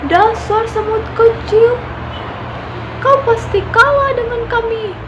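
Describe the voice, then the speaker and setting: A woman's voice: steady tone, dull audio, background noise. A woman voicing an arrogant, boastful male elephant with a big mocking laugh, in a storybook reading. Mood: gloating. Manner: booming mocking laugh, slow gloating.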